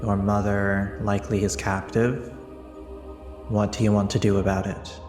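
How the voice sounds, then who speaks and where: A man playing a stern, judging god in a tabletop game, speaking formally and with measured admonishment. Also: on the low side, flat delivery, reverberant, long reverb tail, music in the background, close to an everyday voice. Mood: grave, admonishing.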